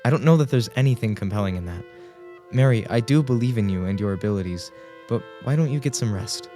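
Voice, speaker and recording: A man plays a gentle, worried husband in a radio drama. His voice is dark and mellow, his manner earnest and formal. Light music plays behind him. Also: fairly low pitch, expressive, fast, close to an everyday voice. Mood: concerned. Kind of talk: radio play acting.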